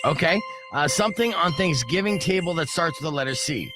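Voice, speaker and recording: A man with a deep voice hosting a live radio show, joking and poking fun at himself. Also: a little fast, music in the background. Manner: joking, self-mocking, lively. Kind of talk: casual radio banter. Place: radio studio. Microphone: studio microphone.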